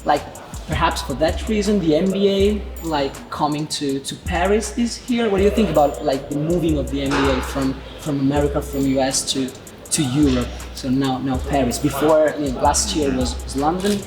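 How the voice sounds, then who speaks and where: A man conducting an informal sports interview in halting, repetitive English. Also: a little high, rough recording. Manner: halting, repetitive phrasing in non-native English. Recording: music playing behind the voice. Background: background music.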